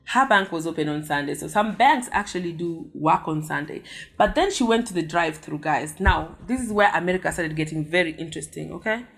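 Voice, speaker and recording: A Kenyan woman vlogger telling a story to her viewers in a lively, chatty way, with frequent exclamations. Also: lowish voice.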